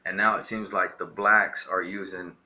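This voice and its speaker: A man's voice: low-pitched, level tone, moderate pace, bright, forceful tone. A young man from Texas ranting defiantly to camera, rambling with lots of filler words. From Texas, USA. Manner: rambling, defiant, heavy on "you know" fillers. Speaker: vlogger. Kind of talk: casual ranting monologue to camera.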